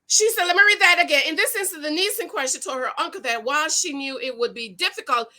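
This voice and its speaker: Loud lady, high voice, reads a news story and preaches about it all excited and animated. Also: quick pace. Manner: animated and preachy, with emphatic repetition and Pentecostal preacher energy. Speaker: YouTube commentator on money and marriage. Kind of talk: casual commentary reading and reacting to a news story.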